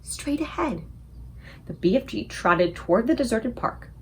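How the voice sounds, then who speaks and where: A woman reading a children's book aloud as an expressive storyteller for a book club. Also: medium pitch, moderate pace, a little hiss or hum.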